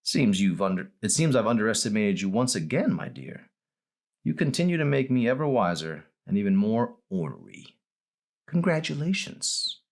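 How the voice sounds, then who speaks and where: A man's voice: on the low side, big pitch swings, moderate pace, gravelly, voice pushed up, heavily acted. A man reads as a frail old hospital patient in a storybook. He is ornery and fond of teasing, with a voice that rises and falls freely. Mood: mock indignant. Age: older.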